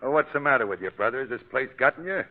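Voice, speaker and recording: A scoffing carnival manager played by a man in an old radio play. He is brash and slangy, his pitch swinging freely, on a narrow, tinny 1930s recording. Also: expressive, very fast, close to an everyday voice.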